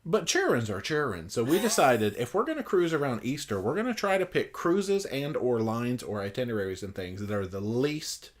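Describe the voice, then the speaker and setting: A man's voice: mid-range voice, big pitch swings, normal speed, hardly any pauses. A man co-hosting a casual livestream, reading out viewer comments and joking around. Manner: jokey, teasing. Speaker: livestream co-host.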